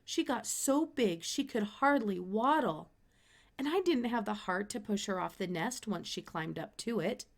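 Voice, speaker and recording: A woman reads a children's story aloud. Her voice sits at an ordinary middle pitch for a woman, and she narrates expressively as a storybook reader. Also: expressive, unhurried.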